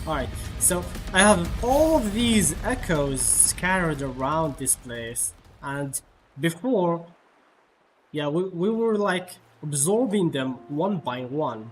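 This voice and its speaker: Slow, halting male game streamer. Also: a little high, animated. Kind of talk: casual live gameplay commentary. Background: faint game music.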